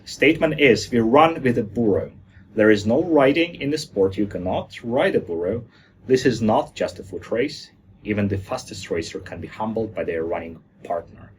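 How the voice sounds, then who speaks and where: A man's voice: lowish voice, slight background noise. A man from Germany giving a lighthearted presentation talk, joking along and stumbling over fillers now and then. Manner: jokey, lighthearted, with hesitant fillers.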